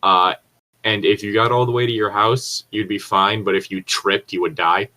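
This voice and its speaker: A man's voice: on the low side, steady tone, normal speed, sharp tone, low-quality recording. A man chatting casually in a rambling way, swearing freely. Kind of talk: casual chat.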